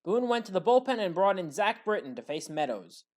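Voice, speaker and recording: A woman's voice: mid-range voice, big pitch swings, fairly quick, darkish tone. A woman commentator reading a scripted play-by-play sports recap in a factual, orderly way.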